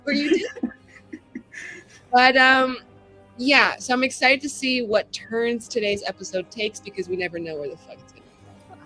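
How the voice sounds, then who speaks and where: A woman's voice: a little high, steady tone, normal speed, long pauses, sharp tone, soft background music, poor audio. A woman playing in a tabletop show, chatting casually with playful, sarcastic, swearing delivery over her character. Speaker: player on an actual-play tabletop show.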